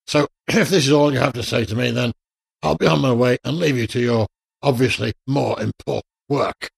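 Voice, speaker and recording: A man's voice: medium pitch, moderate pace, creaky, slightly rough, no echo. A man acting a proud, impatient, ailing computer expert in a radio drama, speaking in a brusque, formal and indignant way with a cough.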